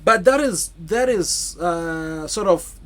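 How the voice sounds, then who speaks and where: A man in his thirties from Zimbabwe, an author answering interview questions in a halting, thoughtful way with many restarts. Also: high-pitched, expressive, a bit bright. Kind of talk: conversational interview.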